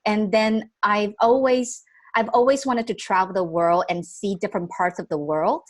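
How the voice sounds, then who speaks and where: A woman's voice: medium pitch, fairly even intonation, normal speed. A young woman from Taiwan whose first language is Chinese, chatting as a podcast guest with frequent laughs and restarts. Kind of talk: casual interview chat.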